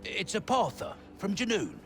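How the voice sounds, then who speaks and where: A man's voice: sits high, wildly swooping pitch, moderate pace, a bit bright, heavily acted. An actor playing an easygoing local townsman in a conversational video game style. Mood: friendly. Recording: music behind.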